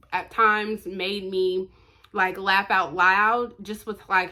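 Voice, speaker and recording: A woman hosts a chatty book vlog in a bright, crisp-edged voice of middling pitch. She speaks informally and emphatically, posing questions to herself and answering them bluntly. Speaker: book reviewer, vlog host.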